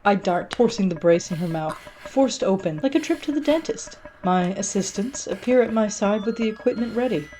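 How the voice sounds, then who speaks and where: A woman's voice: mid-range voice, animated, moderate pace, few pauses, dark tone, slight background noise, low-quality recording. A woman giving a cold, menacing, clinical first-person narration of a horror story. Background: music.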